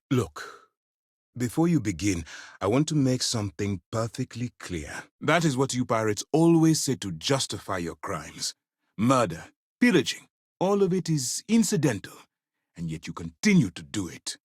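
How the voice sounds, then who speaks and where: Stern naval commander, sweeping intonation. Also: male voice, medium pitch, breathy edge, no room sound, studio quality. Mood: grave, measured, then angry, accusing. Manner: measured, formal, commanding delivery. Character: stern, disciplined male naval commander hunting space pirates. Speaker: video game voice actor. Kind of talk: dramatic video game dialogue.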